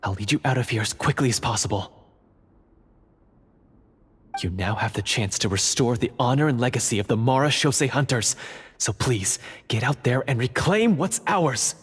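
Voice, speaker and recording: A man playing an earnest undercover dungeon guard in a fantasy video game, speaking gravely, formally and urgently. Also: mid-range voice, lively intonation, moderate pace. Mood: resolute. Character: earnest undercover male dungeon guard, secret monster hunter. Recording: long reverb, faint noise.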